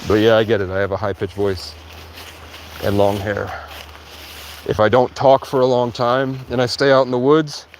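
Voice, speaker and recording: A sleep-deprived man livestreaming outdoors in a rural area, rambling in a halting way with many restarts. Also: on the low side, little pitch movement, slight background noise, low-quality recording. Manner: halting, restarts often, heavy use of "like". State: sleep-deprived after hours of talking. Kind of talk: rambling casual monologue.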